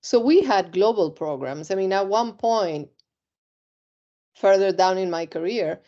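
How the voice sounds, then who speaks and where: A middle-aged woman from Argentina, interviewed about her career, speaks in a measured, reflective way with frequent ums. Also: medium pitch, animated, mellow, highs cut off. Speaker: compliance executive, interview guest.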